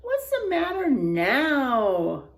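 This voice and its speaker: Woman reading as a mocking older sister: loud, bossy, storybook tone. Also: high-pitched, huge pitch swings, extremely slow, voice pushed up, full character voice. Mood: taunting.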